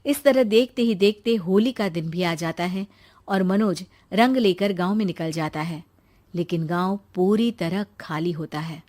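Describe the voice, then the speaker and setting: A woman's voice: lowish voice, unhurried, a little mellow. A woman storyteller reading a scripted cartoon story in a dramatic narrating style.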